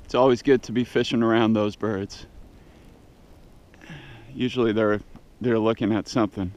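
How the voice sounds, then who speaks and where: A man in his thirties giving quiet, low-key running commentary while fishing from a kayak on open sea, recorded on an action camera. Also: fairly even intonation, a little slow, long pauses, lo-fi sound. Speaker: fishing vlogger. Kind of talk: casual first-person narration while fishing. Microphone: action camera mounted on the kayak.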